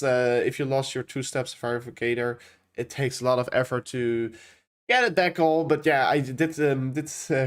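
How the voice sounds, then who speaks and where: Young Dutch-accented man hosting a live stream, rambling and chatty, with a middle-pitched voice. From Netherlands. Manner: rambling, many fillers and restarts. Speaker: crypto livestream host. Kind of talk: casual livestream chat reading viewer questions.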